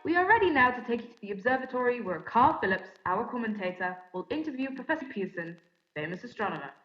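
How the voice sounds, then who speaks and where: A woman playing a formal 1930s radio announcer, reading bulletins in a measured, scripted way. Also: fairly high pitch, sing-song, soft background music. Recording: echoey room, slightly dull sound.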